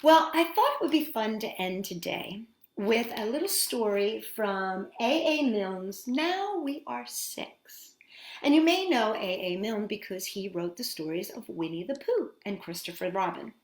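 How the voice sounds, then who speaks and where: A woman's voice: mid-range voice, animated, measured pace, echoey room. A woman hosting storytime for children, reading and chatting expressively and addressing her young listeners directly. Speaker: children's storytime host and singer. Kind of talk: storytime read-aloud and chat to children.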